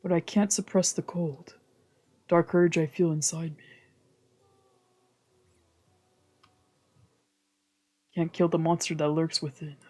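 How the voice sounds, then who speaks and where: Streamer playing a lonely, broken visual novel mother, mid-pitched, hushed and sorrowful, music behind. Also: little pitch movement, moderate pace, lightly acted. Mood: despairing, hushed. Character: broken, lonely mother confessing in her diary.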